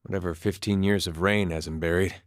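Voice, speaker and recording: Male actor as wry farmer-soldier, fairly deep voice, understated folksy drawl, quietly wounded, video game character, very dry recording. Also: fairly even intonation, a little fast, no echo, natural voice. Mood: searching, casual. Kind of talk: performed game dialogue.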